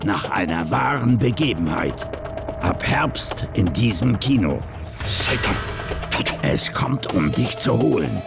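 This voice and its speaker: A man with a gravelly voice narrating a film trailer in a dramatic, portentous style. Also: on the low side, expressive, takes it slowly, pauses often, loud music behind the voice. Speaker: trailer announcer.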